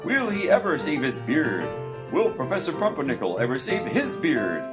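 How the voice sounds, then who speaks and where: A man's voice: on the high side, huge pitch swings, normal speed, loud music behind the voice. A man playing a melodramatic radio serial announcer, posing cliffhanger questions in scripted narration. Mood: mock suspense.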